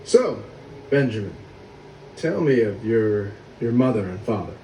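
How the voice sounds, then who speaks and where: A man voicing a stern, bitter young lord of Egypt in an animated film, questioning and accusing in a commanding manner. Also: fairly low pitch, a little slow. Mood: guarded, probing. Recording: roomy, distant, noisy film soundtrack rip.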